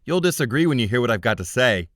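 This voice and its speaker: Sly middleman, smug and lively, raised voice; man voices him. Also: mid-range voice, fast, no room sound, clean studio sound, pitched above the performer's own voice. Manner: smug, wry. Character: smooth, sly male information broker.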